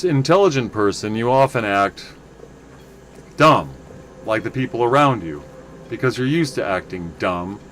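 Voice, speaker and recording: A man casually commenting on his game for viewers, rambling and correcting himself as he goes, with a loud voice. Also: mid-range voice, noisy background. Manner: rambling, self-correcting, chatty asides to viewers. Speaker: livestream game player.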